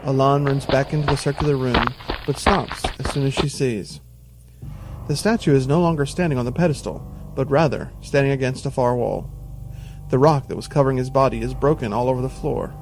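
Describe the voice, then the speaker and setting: A male narrator with a medium-pitched voice tells an audio drama. He reads deliberately and with suspense, over clearly audible music. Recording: music bed behind the narration.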